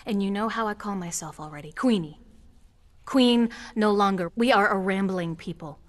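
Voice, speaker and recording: A woman's voice: mid-range voice, normal speed, close to an everyday voice. An actress playing a proud, weary queen of hungry sewer-dwelling survivors in an audio drama, speaking solemnly in short, commanding declarations. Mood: solemn, wary. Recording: music under the voice.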